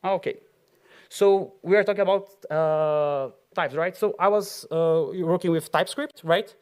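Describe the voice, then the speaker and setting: A man with a Brazilian Portuguese accent, his voice a touch high, talks to an auditorium crowd. He rambles in a loose, chatty way, full of fillers and little laughs. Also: unhurried, a little mellow, smooth. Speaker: conference speaker. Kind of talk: tech conference talk.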